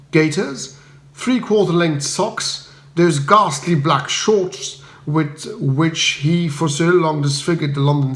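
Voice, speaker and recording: A man with a fairly high voice narrates a comic audiobook. His delivery is measured and wry with a mock-grand air, recorded in an echoey room. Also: a bit bright. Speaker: audiobook reader. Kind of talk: comic first-person scripted narration. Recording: roomy echo.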